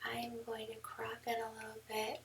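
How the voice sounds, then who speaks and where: Hoarse, whispery woman, gravelly tone. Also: a little high, moderate pace, distant mic. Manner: relaxed, with many ums. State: losing her voice, hoarse and whispery. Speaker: newborn photographer. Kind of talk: screen-recorded editing tutorial. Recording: mic set back, faint background noise.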